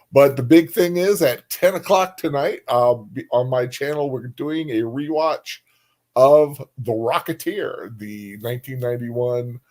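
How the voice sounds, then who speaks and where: A man chatting casually as a livestream co-host, speaking in halting, repetitive phrases that often trail off. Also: medium pitch, a little mellow. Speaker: co-host of a book discussion stream.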